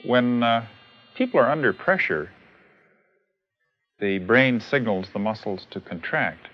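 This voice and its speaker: A man giving an informal, halting explanation as a researcher. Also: medium pitch, expressive. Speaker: biofeedback researcher. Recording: dull 1970s film soundtrack.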